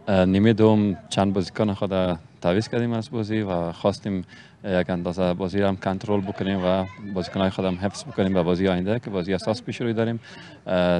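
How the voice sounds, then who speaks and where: A man in his thirties with an Afghan Dari accent, a head coach answering interview questions outdoors on a handheld mic, earnest with hesitant pauses. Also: low-pitched, a little mellow. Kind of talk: post-match interview. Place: outdoor pitchside backdrop.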